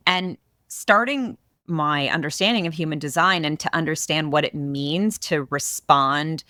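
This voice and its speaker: A woman hosting a podcast, talking casually in her big voice and telling stories in an expressive, animated way. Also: low-pitched, unhurried, no echo, studio quality. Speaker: podcast host.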